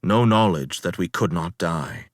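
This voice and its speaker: A man's voice: low-pitched, dry recording, clean studio sound. A man performing a weary, proud ancient Greek hero who recounts his sea voyages as theatrical dramatic poetry, in a grave, declamatory manner. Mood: stormy, intense. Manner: grave, declamatory, deliberate storytelling with dramatic swells.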